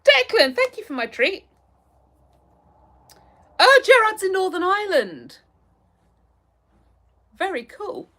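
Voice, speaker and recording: A woman's voice: very high voice, sing-song, takes it slowly, pauses often, fairly bright tone. An English woman in her thirties hosting a livestream, chatting casually and reacting to viewer comments. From England. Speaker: livestream host.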